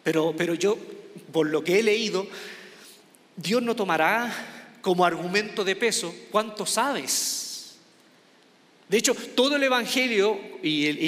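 A man's voice: high voice, pushed and bright, in a large hall. A man from Chile with a Chilean accent, preaching an informal, conversational sermon with many restarts and a self-mocking tone. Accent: Chilean Spanish. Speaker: pastor.

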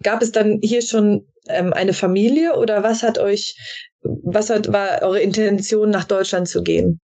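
Woman podcast host with searching, halting phrasing. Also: mid-range voice, steady tone, mellow. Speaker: podcast host interviewing a guest. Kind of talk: conversational interview.

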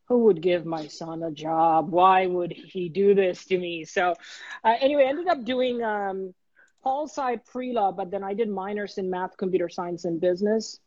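A man's voice: sits high, moderate pace, dry recording. Man telling his story, dull dry sound. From Bangladesh. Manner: earnest, steady storytelling with many small restarts. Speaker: guest.

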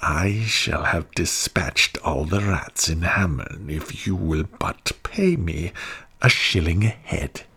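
A man voicing a mysterious, mocking bagpiper in a storybook reading, in a slow sing-song chant. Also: low voice, expressive, vocal fry, bright, forceful tone, slightly rough, pitched below the performer's own voice, exaggerated voice. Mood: bargaining.

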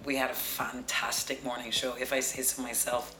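A woman in her forties with a deep voice, telling stories as an interview guest in a confident, practised broadcaster's way. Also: flat delivery, normal speed. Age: 40s. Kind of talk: relaxed storytelling interview. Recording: echoey room with music behind.

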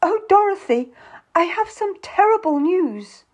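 Woman acting a histrionic, high-pitched young noblewoman. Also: sing-song, a little slow. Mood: pleading. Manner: melodramatic, imploring. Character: histrionic tearful young noblewoman, pleading and scheming.

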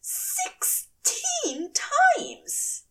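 A woman's voice: very high voice, wildly swooping pitch, drawn-out words, far from the mic, pitched above the performer's own voice, full character voice. A woman voicing a male angel in audio fiction, wounded, aghast and demanding in an emphatic way. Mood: shocked, demanding. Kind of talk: audio fiction character acting.

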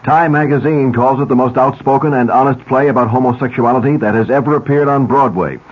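A male station announcer reads scripted sponsor credits and advertising. His voice is mid-pitched and slightly husky, on a narrow, phone-like 1970s radio recording. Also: brisk.

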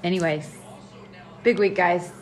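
Brief: female podcast co-host, casual, quick supportive interjections, lower-pitched voice. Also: steady tone, soft background music. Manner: short, agreeing interjections.

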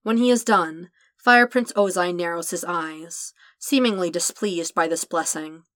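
A woman with a fairly low voice reads a story aloud in calm, measured narration. The sound is dry and close, with no room echo. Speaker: podfic reader. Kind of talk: scripted narration of fan fiction.

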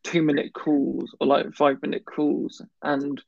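A man's voice: a little high, flat delivery, unhurried, creaky, dry recording, dull audio. A man in casual conversation who stammers, blocking on some words and often restarting. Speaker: guest talking about his stammer. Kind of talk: casual chat.

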